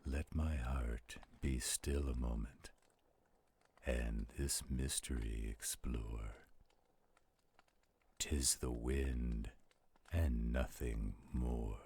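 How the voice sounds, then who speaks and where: A man voicing a slow-spoken werewolf who solemnly recites gothic poetry in an audio roleplay, with deliberate, gravelly delivery. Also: a bass voice, steady tone, darkish tone, heavily acted. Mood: suspenseful, solemn. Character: slow-spoken male werewolf reading a poem by campfire.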